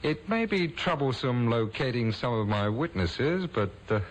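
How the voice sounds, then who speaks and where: An actor playing a smooth, evasive male murder suspect in an old radio drama, suave and overly polite. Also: medium pitch, very animated, normal speed, close to an everyday voice, rough recording. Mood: composed. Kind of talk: 1950s radio drama acting. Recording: old radio broadcast with faint hiss.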